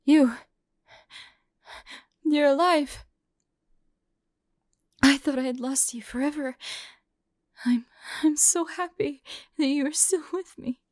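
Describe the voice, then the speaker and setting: A woman playing a vulnerable, devoted woman in an intimate audio roleplay, halting and often close to a whisper. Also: high-pitched, no room sound. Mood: grieving, stunned, then relieved, emotional. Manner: soft, breathy, halting, often near whisper.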